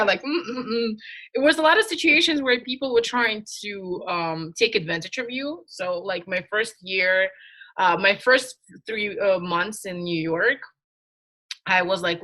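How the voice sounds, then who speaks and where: Bright-voiced Russian-born woman, frank and animated. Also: medium pitch, moderate pace. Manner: frank, animated, laughs easily. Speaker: podcast co-host. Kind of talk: casual podcast chat.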